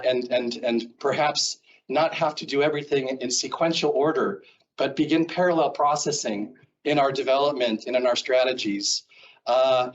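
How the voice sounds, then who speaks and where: A man's voice: mid-range voice, slight background noise. American man giving a muffled talk. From Seattle, Washington, US. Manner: rambling, filler-heavy, self-correcting. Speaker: guest speaker. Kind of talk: conference talk. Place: presentation room, standing before a screen.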